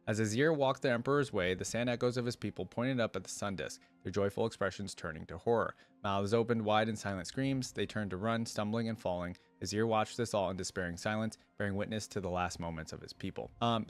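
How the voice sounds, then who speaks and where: Guy with a lowish voice reading fantasy lore kinda dramatically, chats his reactions, music under it. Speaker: fan reaction video creator. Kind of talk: reading a fantasy story aloud with casual reaction asides.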